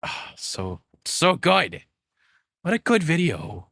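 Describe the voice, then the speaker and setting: A man's voice: fairly high pitch, very animated, slow, creaky, a bit bright, no echo. A man giving casual, spontaneous reaction commentary as a streamer, enthusiastic and informal, speaking close to the microphone.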